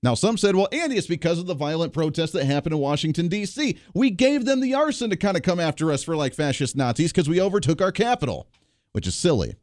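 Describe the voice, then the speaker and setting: A man's voice: a little high, big pitch swings, darkish tone, no room sound, clean studio sound. A man hosting a political talk radio show, giving opinionated and sarcastic commentary between played clips. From Kansas area, United States. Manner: opinionated, sarcastic, rapid asides.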